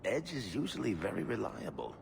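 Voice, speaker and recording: An actor playing a wry, roguish storyteller in a video game, sardonic and theatrical in delivery. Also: male voice, on the low side, wildly swooping pitch, a little fast, creaky, slight background noise, exaggerated voice. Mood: bantering. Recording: long hall reverb, music underneath.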